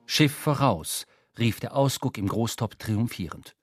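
An actor playing a seafaring narrator who tells a whaling voyage in measured, literary radio drama narration. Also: male voice, mid-range voice, expressive, husky, no room sound, soft background music.

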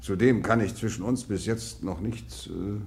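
An actor playing a reserved, self-important Russian general in a radio drama, formal and condescending toward others. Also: male voice, on the low side, gravelly, darkish tone. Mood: dismissive, wary. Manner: formal, dismissive, measured condescension. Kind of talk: German radio drama acting.

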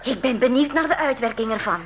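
An inquisitive young girl in an adventure radio play. Her voice is high and thoughtful, on an older recording with faint noise. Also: fast, vocal fry, fairly bright tone. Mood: curious. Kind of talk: 1980s school radio drama acting.

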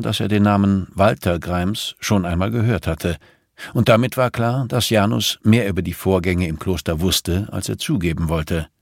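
Gravelly low-voiced man narrating a thriller. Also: no echo, studio quality. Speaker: audiobook narrator. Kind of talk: scripted dramatic narration of a thriller.

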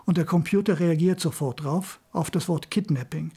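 An older man recalls past work in spontaneous interview talk. His voice is husky and mellow, pitched a little high for a man. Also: normal speed. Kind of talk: interview reminiscence, spontaneous storytelling.

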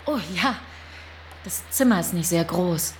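An actress in a German radio drama playing a polite, uneasy farmer's wife, accommodating but cautious. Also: female voice, fairly low pitch, lively intonation, measured pace, a little hiss or hum. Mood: welcoming, polite.

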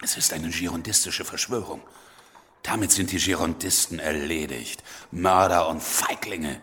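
A man's voice: deep voice, a little slow, bright, forceful tone. An actor playing a bitter man who speaks in curt accusations.

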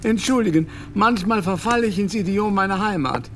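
An actor playing a learned English Jesuit doctor in a radio play, lecturing grandly and groping for German words. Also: male voice, sits high, fairly quick, fairly bright tone, slight background noise. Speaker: Jesuit scholar.

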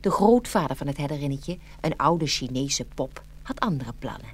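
A woman's voice: deep voice, expressive, normal speed, breathy edge. A woman narrating a scripted fairy tale expressively, as a storyteller.

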